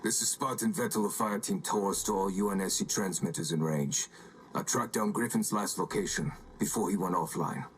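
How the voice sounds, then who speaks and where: A grim elite soldier acted by a man speaks in a deep, solemn voice. He is determined and measured, as in a game audio log. There is light ambience behind him. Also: a little hiss or hum, natural voice. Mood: grim, focused. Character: grim, resolute armored super-soldier sending a broadcast.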